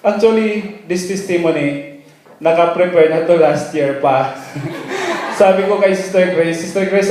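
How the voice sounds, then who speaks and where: A man's voice: fairly high pitch, dark tone. A man with a Filipino accent giving a personal testimony in church, laughing nervously and switching between English and Tagalog. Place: church hall. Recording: echoey church hall.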